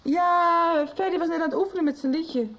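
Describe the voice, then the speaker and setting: A woman's voice: very high voice, moderate pace, fairly bright tone, dull audio, slight background noise. A young woman playing an impatient, agitated daughter in a radio soap, pressing with insistent questions. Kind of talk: radio drama acting.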